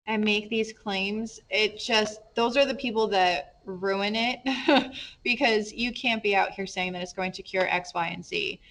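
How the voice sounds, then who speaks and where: A woman hosting a casual podcast interview, whose first language is Polish, chatty and quick to laugh. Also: mid-range voice, fairly bright tone, low-quality recording. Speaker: podcast host.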